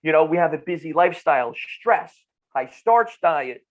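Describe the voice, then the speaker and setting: A man's voice: a little high, expressive, moderate pace, narrow, tinny audio. A middle-aged man with a New Jersey accent explains things conversationally and emphatically, repeating phrases and posing rhetorical questions. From northern New Jersey, United States. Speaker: nutrition clinician, podcast guest.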